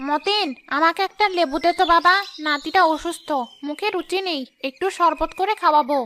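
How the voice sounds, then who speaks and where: A woman's voice: very high-pitched, a little fast, sharp tone, a little hiss or hum. A woman voicing a poor elderly grandmother in a cartoon who pleads humbly. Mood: pleading. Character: elderly grandmother begging for her sick grandchild.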